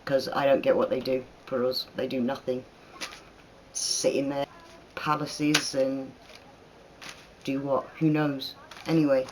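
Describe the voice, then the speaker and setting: Host in their 30s with a mixed northern/southern English accent, rambling, chatty, quick to laugh. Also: mid-range voice, little pitch movement, slight background noise, low-quality recording. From northern England. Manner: chatty and rambling, laughs often, lots of fillers. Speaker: channel host answering viewer questions. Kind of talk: casual Q&A chat.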